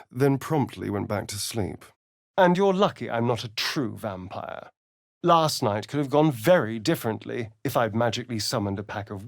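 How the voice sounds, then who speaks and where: Sly vampire rogue, video game companion, theatrical drawl, very animated pitch, sardonic, vain, dry studio sound. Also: male voice, dry recording. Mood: pointed, intimate.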